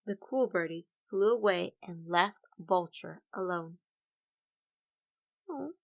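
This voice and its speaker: A woman's voice: fairly low pitch, expressive, slow pace, vocal fry. A woman teacher leading an interactive read-aloud for children, explaining in an encouraging way and addressing listeners directly.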